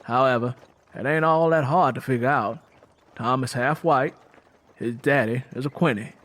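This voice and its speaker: A man's voice: medium pitch, normal speed, fairly bright tone, natural voice. A man voicing a bitter, mean-tempered woman from the American South in a folksy, emphatic storytelling way for an audiobook. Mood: matter-of-fact.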